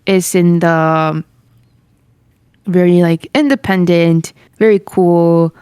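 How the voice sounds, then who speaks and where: A woman with a lowish, smooth and resonant voice chats casually as a podcast co-host. She talks hesitantly and searches for words, and the recording is close and dry. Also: slow, mellow, no room sound. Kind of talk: casual chat.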